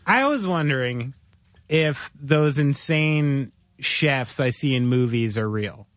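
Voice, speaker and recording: A man's voice: mid-range voice, expressive, slow, a bit bright, no room sound, clean studio sound. A man hosting a casual chat, asking questions and joking with short interjections.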